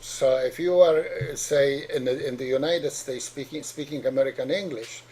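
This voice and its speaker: Polish-accented doctor, dark and husky. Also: male voice, fairly high pitch. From Poland. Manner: earnest, repeats and restarts words. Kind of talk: explanatory talk to parents.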